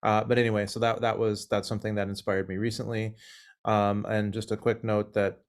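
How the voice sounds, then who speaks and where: Low, flat-voiced man rambling. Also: a little fast, clear, resonant tone. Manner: rambling, frequent fillers. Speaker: community member. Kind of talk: video call remarks. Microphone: video call.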